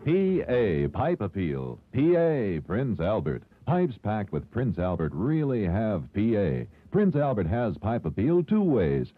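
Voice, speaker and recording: An American male announcer selling in 1940s radio style. His voice is fairly low with very lively inflection. The old recording is tinny and narrow. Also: slow pace, few pauses, a little hiss or hum. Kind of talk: 1940s radio advertising read.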